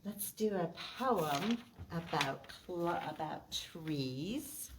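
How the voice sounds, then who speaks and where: A woman hosting a preschool storytime, reciting rhymes and reading aloud in an expressive, simple way for small children. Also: on the low side, drawn-out words, noisy background. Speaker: preschool storytime host at a library. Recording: roomy echo, distant mic.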